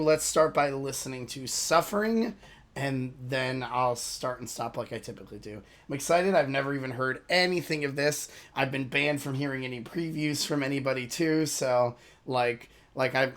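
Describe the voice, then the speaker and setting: A man with a hoarse, worn voice chats loosely to his viewers at a middle pitch. He rambles, says sorry and loses his thread now and then, in the easy tone of a reaction channel host. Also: a bit bright. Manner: rambling, apologetic, loses his train of thought. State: hoarse from a lost voice. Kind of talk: casual chat to viewers.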